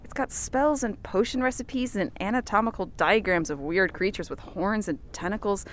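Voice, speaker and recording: A woman's voice: mid-range voice, moderate pace, slight background noise, close to an everyday voice. A woman playing an earnest, easily outraged reporter who speaks emphatically in an audio drama. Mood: awed, curious. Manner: emphatic, righteous, wordy.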